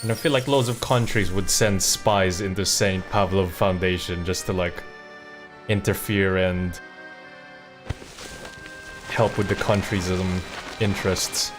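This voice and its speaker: A man's voice: sits low, fairly even intonation, unhurried, pauses often. A man livestreaming a game, giving casual, rambling commentary in an informal way. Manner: rambling, informal, mildly profane. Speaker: streamer. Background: game music.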